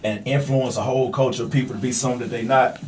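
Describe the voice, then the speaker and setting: A man's voice: mid-range voice, steady tone, quick pace, a little mellow, a little hiss or hum. A man in a casual, slangy podcast chat, agreeing and repeating himself. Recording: voice distant from the microphone.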